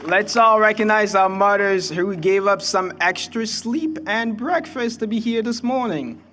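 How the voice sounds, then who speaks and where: Young Congolese-accented man, higher-pitched, speechmaking. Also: a little slow, background noise. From Kinshasa, Democratic Republic of the Congo. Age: young adult. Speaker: student commencement speaker. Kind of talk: prepared graduation speech.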